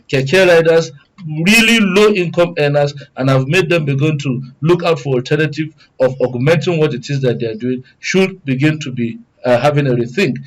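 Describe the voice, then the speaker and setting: A Nigerian man speaks as a guest on a radio panel. His pitch is a bit above average. He argues methodically in formal, long-winded sentences, one point after another. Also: rough recording. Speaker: studio guest, good governance advocate. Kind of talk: live panel commentary.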